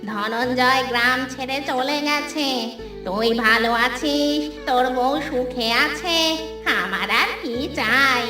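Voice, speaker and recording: A woman voicing a fish-loving female village ghost in a horror cartoon, commanding and loyal, with vengeful weight. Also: sits high. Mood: calm, fond. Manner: menacing, drawn-out commanding threats. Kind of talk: Bengali horror cartoon voice acting. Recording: roomy echo, distant, music behind.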